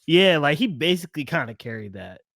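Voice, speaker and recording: A man's voice: on the high side, sing-song, brisk, gravelly, a bit bright, dry recording, studio quality. A man co-hosting a podcast, chatting casually in slang and often saying bro. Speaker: podcast co-host. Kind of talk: casual movie discussion chat.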